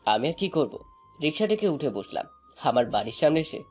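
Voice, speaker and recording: A man's voice: fairly high pitch, very animated, a little fast, a little mellow, slightly rough, faint music behind. A man playing a shy young college student, narrating a romantic story in the first person.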